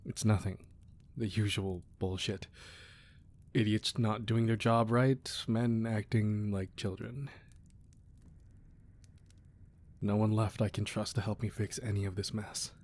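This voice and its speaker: A man playing a weary, menacing crime boss with a tender side, in a controlled, deliberate ASMR roleplay delivery. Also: lowish voice, steady tone, a little mellow. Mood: tired, frustrated. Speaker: audio roleplay voice actor. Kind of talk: ASMR roleplay acting, close and dramatic.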